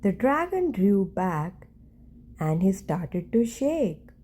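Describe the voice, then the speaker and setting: A woman narrates a children's storybook in a mellow voice. She reads very slowly, in short deliberate phrases, and lets her pitch rise and fall in an animated way. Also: breathy edge. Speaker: storyteller reading a children's picture book. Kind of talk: read-aloud bedtime story narration.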